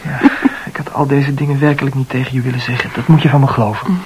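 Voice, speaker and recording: An actor playing a self-absorbed, intense man in a Dutch radio play, talkative and self-analysing, with halting repetitions. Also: medium pitch, steady tone, background noise, close to an everyday voice. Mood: ardent.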